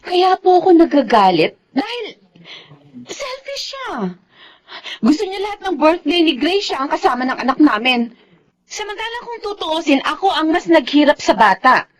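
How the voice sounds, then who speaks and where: A woman's voice: high voice, big pitch swings, moderate pace. An actress playing a bitter, protective young separated mother in a Filipino radio drama, with a Filipino accent. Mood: angry, hurt.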